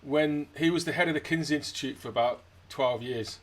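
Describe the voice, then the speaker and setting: A man's voice: fairly high pitch, a little fast. A man from Scotland interviewing casually, with a dry, wry sense of humour. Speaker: interviewer, jazz musician.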